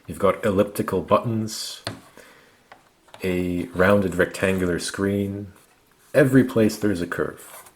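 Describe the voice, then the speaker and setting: A man narrating a product review, explaining things methodically in short, measured phrases. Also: low-pitched. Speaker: gadget reviewer.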